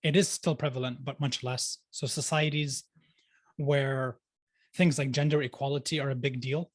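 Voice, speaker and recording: Young man, casual podcast guest, talkative, lots of "like", mid pitch, dry close recording. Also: steady tone, moderate pace, no echo. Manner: chatty, fills talk with "like", laughs easily. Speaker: podcast guest, sociologist and life coach. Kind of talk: casual interview chat.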